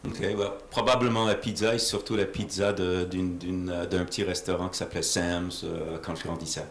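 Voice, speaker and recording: A man interpreting interview answers in a halting way, often searching for his words. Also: low voice, level tone. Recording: echoey room with faint noise.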